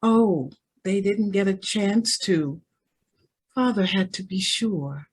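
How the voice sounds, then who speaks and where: A woman acts a spoiled young heiress in a radio play. Her slightly husky voice takes its time and swings freely up and down, girlish and breezy, with a naive callousness that easily tips into petulance. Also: mid-range voice, lively intonation, takes it slowly, darkish tone. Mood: breezily callous. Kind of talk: old-time radio drama acting.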